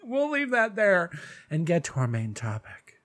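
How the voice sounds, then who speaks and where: A man's voice: sits high, wildly swooping pitch, unhurried, loud music behind the voice. A man hosting a casual solo podcast, chatty and gushing, calling his listeners darlings, a little stuffy from allergies. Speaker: podcast host.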